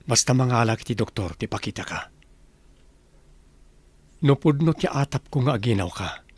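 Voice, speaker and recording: A male actor plays a domineering master of a big house in a radio comedy drama. He has a mid-pitched Ilocano-accented voice, giving orders and scolding in a very dry, close recording. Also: lively intonation, normal speed, husky, no echo. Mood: stern, probing. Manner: commanding, scolding. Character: stern, bossy male master of a mansion.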